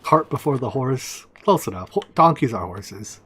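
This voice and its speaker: A man whose first language is Chinese gives offhand commentary as he plays, muttering asides and breaking into excited outbursts. His pitch swings widely from low mumbles to high exclamations. A faint background hiss sits under the desk-mic sound. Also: moderate pace. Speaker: streamer. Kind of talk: casual gameplay commentary.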